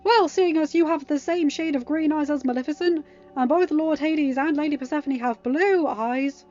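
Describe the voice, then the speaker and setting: A woman's voice: high voice, moderate pace, a little mellow, muffled recording, pitched above the performer's own voice, lightly acted. A woman voicing pushy, intrusive tabloid reporters in an audiobook, insistent and probing. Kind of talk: dramatized audiobook narration.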